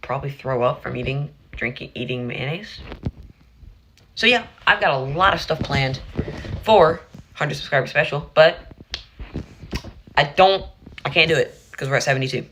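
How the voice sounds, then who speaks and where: Hoarse young man rambling on stream. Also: fairly high pitch, moderate pace, long pauses, a bit bright, a little hiss or hum, low-quality recording. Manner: rambling, restarts phrases. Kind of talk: casual livestream chat.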